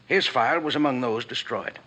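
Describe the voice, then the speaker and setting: Earnest, sharp-voiced radio agency boss. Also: male voice, mid-range voice, fairly quick. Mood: grave, earnest. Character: anxious, earnest male detective agency head. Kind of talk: 1950s radio drama acting. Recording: dull old radio recording.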